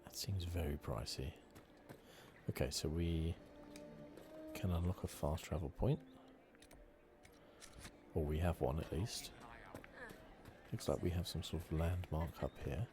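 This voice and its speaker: A man giving relaxed ASMR-style game commentary with wry asides, speaking softly into a close microphone. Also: low-pitched, unhurried, long pauses, noisy background. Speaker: gaming video host. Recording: loud game music behind.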